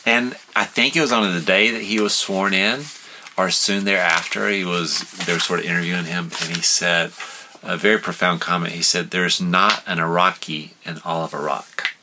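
A man, telling history in a relaxed chat, with hesitant restarts and chuckles at his own jokes. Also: sits low, moderate pace, background noise. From United States. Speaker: podcast guest explaining history. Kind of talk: casual storytelling chat.

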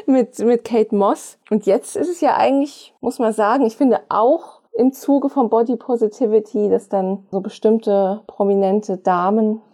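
A woman's voice: fairly high pitch, fairly even intonation, unhurried, hardly any pauses, warm tone. A woman talking in a casual, chatty way in conversation. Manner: chatty, laughs often while talking.

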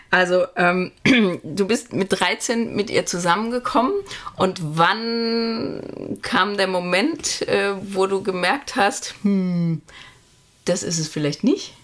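A woman's voice: mid-range voice, fairly bright tone. A woman hosting a podcast, asking questions in a playful, casual tone. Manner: playful, laughs easily. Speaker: podcast host. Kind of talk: casual interview.

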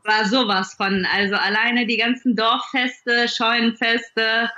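A woman's voice: fairly high pitch, level tone, measured pace, pushed and bright, highs cut off. A woman from the Duisburg area of western Germany, in her thirties, chatting casually and telling personal anecdotes as a podcast guest.

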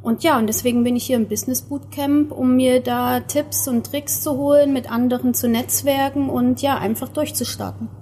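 A woman in her thirties, a photographer, answering interview questions in a steady, earnest way with some fillers, sitting outdoors. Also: high-pitched, moderate pace, few pauses, faint music behind. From Germany, near Erfurt. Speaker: guest, photographer. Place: outdoor terrace. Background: outdoor ambience.

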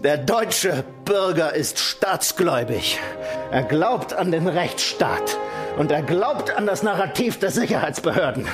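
An actor in a radio play playing a smug, cynical senior security official, condescending and deliberate. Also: male voice, a little high, very animated. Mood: smug, lecturing. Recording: loud music under the voice. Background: loud music.

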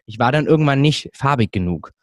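Animated man, mid pitch, dry close mic. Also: brisk, no room sound. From Germany. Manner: animated, hesitant restarts. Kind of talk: casual conversation.

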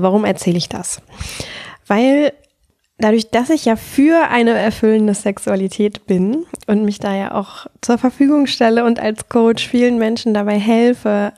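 A woman with a cold talks softly and thoughtfully in a mellow, dark voice, a little nasal and breathy. She speaks in a calm, unhurried way as she hosts her own podcast. The sound is dry and close. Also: mid-range voice, dry recording. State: has a cold, slightly nasal. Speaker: podcast host and sexual life coach. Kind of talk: solo podcast talk.

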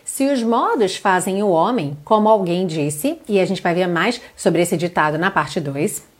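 A woman from Brazil teaching an online English lesson, reading lyrics slowly and carefully and then translating them. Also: on the low side, animated, breathy edge.

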